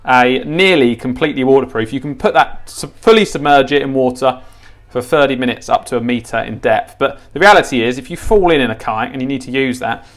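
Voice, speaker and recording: A man giving a casual, unscripted hands-on tutorial, informal, repeating himself and thinking aloud as he goes. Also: mid-range voice. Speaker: presenter of a gadget how-to. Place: indoors, tiled kitchen counter.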